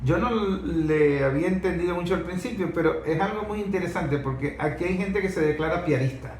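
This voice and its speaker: A man with a slightly high-pitched voice talks through a debate in a conversational way. He argues by posing rhetorical questions. The room is echoey, with faint noise behind him. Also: a little fast, darkish tone. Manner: argumentative, poses rhetorical questions. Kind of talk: conversational political-historical debate.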